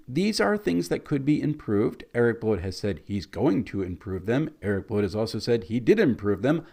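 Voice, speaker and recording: Male reviewer, hoarse and scratchy, easygoing and conversational, mid pitch. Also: warm tone. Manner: conversational, mildly critical. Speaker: game reviewer. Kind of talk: casual product review.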